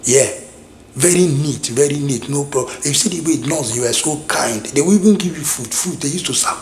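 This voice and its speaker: A man's voice: fairly high pitch, fairly quick, a little hiss or hum. Rambling Nigerian man in echoey room. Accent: Nigerian English. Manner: rambling, many restarts and repeated phrases. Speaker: vlogger. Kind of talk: casual reminiscing monologue.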